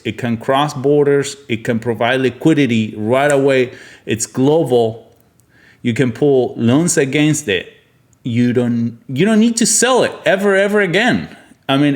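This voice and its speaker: A man from Argentina hosts an unscripted, opinionated monologue, speaking bluntly and emphatically and often repeating himself. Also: mid-range voice. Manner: blunt, emphatic, repetitive, swearing. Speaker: podcast host, physician.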